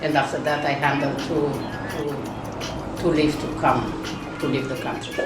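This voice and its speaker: A woman with a Romanian accent speaking English as a second language, with halting, restarting phrasing in a casual interview. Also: sits low, fairly even intonation, reverberant, recorded from a distance, over loud music. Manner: non-native grammar, frequent restarts. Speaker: nursing school owner.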